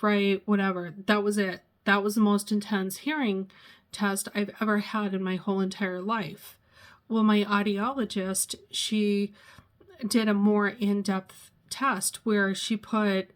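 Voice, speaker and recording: A woman in her sixties sharing a personal vlog, pausing often and searching for words as she talks. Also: mid-range voice. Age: 60s. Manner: halting, searches for words, many pauses and fillers. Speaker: vlogger.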